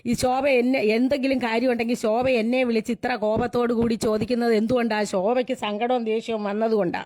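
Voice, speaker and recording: A woman's voice: on the high side, steady tone, quick pace, darkish tone. Insistent Malayali woman on a phone call. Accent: Kerala Malayali. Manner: insistent, repeats herself, protesting. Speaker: local party worker. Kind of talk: heated phone argument. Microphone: telephone.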